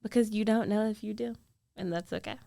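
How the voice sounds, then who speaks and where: Creaky, intimate lovestruck roommate, acted by a woman. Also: medium pitch, fairly even intonation, warm tone, no room sound, natural voice. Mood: tender confession. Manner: hesitant, emotional, intimate delivery with trailing pauses. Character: jealous lovestruck young female roommate, guarded yet tender. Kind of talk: girlfriend ASMR roleplay, intimate monologue.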